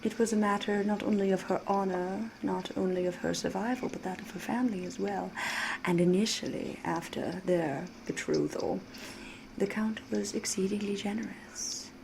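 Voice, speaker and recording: Woman playing a soft-spoken, mysterious vampire storyteller, ambient noise. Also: mid-range voice, gravelly, close to an everyday voice, poor audio. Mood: measured, knowing. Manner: measured, theatrical, knowing, old-fashioned formal diction. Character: mysterious flirtatious female vampire, worldly storyteller with veiled menace. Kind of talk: ASMR roleplay storytelling. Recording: steady ambient noise behind the voice.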